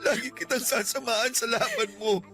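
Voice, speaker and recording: An actor playing a devoted young boyfriend in a radio drama, reassuring softly and pleading through tears. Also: male voice, very high-pitched, fairly even intonation, fairly quick, full character voice. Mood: comforting. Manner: soft, reassuring, tearful pleading. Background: music.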